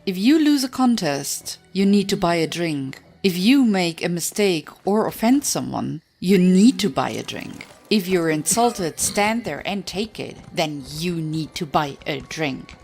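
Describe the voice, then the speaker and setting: A woman with a slightly low voice reads a humorous book as an audiobook narrator. Her delivery is measured and story-like, with music playing behind her. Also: expressive, gravelly, darkish tone. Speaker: audiobook reader. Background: background music.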